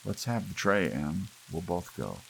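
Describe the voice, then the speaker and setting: A man voicing an anxious, meek, gentle husband in a storybook, hesitant and pleading. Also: sits low, sing-song, measured pace, vocal fry, slight background noise, pitched above the performer's own voice, exaggerated voice, low-quality recording. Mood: weak, resigned.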